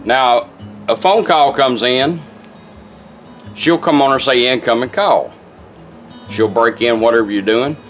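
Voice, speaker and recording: A man's voice: mid-range voice, unhurried, long pauses, a bit bright, soft background music. Folksy man giving a casual product demo. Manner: folksy, plainspoken, instructional. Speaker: car radio restorer demonstrating a product.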